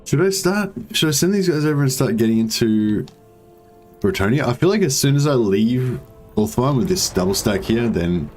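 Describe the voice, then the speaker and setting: A man with a deep voice giving casual, rambling commentary while playing a strategy game. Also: normal speed. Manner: rambling, relaxed, many fillers. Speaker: gaming streamer. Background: faint game music.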